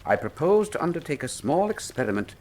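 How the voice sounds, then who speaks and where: Theatrical, animated English detective. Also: male voice, fairly high pitch, fairly quick. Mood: wry. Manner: theatrical, precise, formal diction. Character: shrewd, imperious, wryly witty English male detective. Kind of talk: full-cast radio drama acting.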